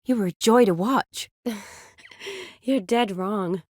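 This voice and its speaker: Game role: young female army medic, caring yet frank and teasing, natural mid-pitched delivery, dry close sound. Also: slow, a little mellow, no echo. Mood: playful, teasing. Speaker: video game voice actress. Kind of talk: scripted game dialogue, naturalistic.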